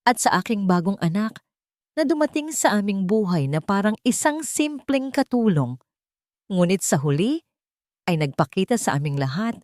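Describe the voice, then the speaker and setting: A woman's voice: mid-range voice, a little mellow, no echo, clean studio sound, natural voice. A woman with a Filipino accent voicing a dignified, affectionate older father in a storybook narration, formal and earnest. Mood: proud.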